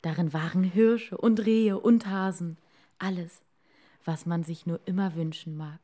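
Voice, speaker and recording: A woman narrating a scripted fairy tale as a storyteller, in an expressive and deliberate manner for children. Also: fairly low pitch.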